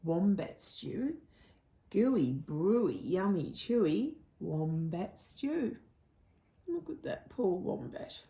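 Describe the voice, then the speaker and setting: A woman storyteller reads to small children with very lively intonation. Her voice is mellow and she takes her time, often turning to the listener with a question. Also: mid-range voice, slow pace, creaky. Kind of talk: reading a picture book to young children.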